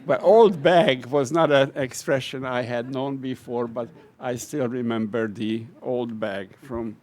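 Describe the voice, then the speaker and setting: A man's voice: mid-range voice, a little mellow, a little hiss or hum. An older man from Hungary with a Hungarian accent, a retiring professor telling wry, self-deprecating stories about his life to a lecture audience. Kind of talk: personal reminiscence at a lecture event.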